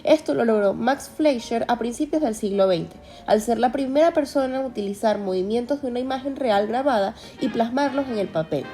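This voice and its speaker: A woman's voice: on the high side, brisk, hardly any pauses, mellow, soft background music. A young woman giving a scripted educational narration as a student narrator.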